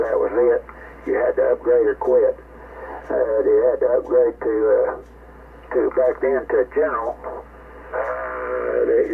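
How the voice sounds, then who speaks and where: A man's voice: medium pitch, vocal fry. A man in his eighties chatting casually and rambling over a ham radio link, reminiscing as an amateur operator. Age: 80s. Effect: narrow radio transmission. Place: home radio station, heard over the air. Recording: noisy, tinny ham radio link with hum.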